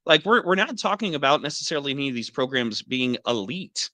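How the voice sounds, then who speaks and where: Man, bright forceful voice, casual analytical sports talk, dry close sound. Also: mid-range voice, animated, fairly quick, dry recording. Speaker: co-host.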